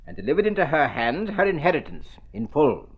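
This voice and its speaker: A man's voice: on the high side, sing-song, a little fast, husky, dull audio. A man playing a pompous, precise family solicitor in a mid-century radio drama, clipped and formal. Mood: stiffly formal.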